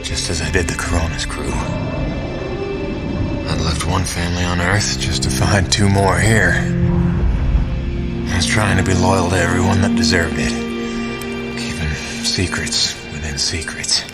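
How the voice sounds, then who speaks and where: An actor playing an earnest, loyal rig pilot in a video game, plain-spoken and confiding. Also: male voice, low-pitched, fairly even intonation, unhurried, pauses often, over loud music. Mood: reflective, regretful. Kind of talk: dramatic video game narration and dialogue.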